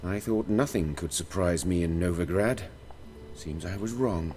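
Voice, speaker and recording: An actor playing a grave, weary man in a video game, speaking in a measured, solemn way. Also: low voice, darkish tone, slight background noise. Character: grave, weary man receiving grim news.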